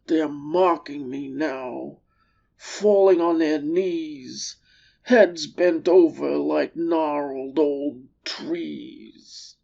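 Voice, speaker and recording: Woman voicing a very slow, gravelly, weary old camel. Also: sits low, warm tone, dull audio, heavily acted. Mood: groaning, ashamed. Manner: slow, drawn-out, dramatic delivery. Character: weary, proud old camel, groaning and self-pitying.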